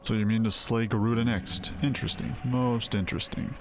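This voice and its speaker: A man's voice: on the low side, steady tone, a little fast, a little hiss or hum, lightly acted. An actor playing a haughty, calculating man in a fantasy video game, speaking in formal, theatrical, archaic phrasing. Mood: coolly intrigued. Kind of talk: scripted video game dialogue.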